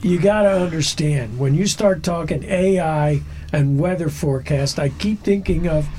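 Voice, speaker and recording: A man's voice: a little high, normal speed, a little mellow, a little hiss or hum. A man co-hosting a radio chat, adding wry remarks. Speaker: radio co-host. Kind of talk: casual interview chat.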